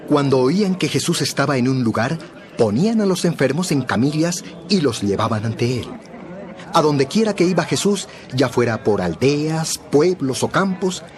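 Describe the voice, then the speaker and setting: A man narrating a dramatized Bible story in a measured, expressive storytelling style. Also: a little high.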